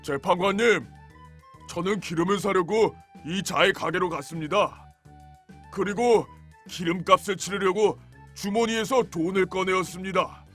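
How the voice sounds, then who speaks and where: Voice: medium pitch, unhurried, fairly bright tone, faint music behind. A performer voicing an aggrieved butcher who earnestly pleads his case in a children's storybook drama. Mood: pleading.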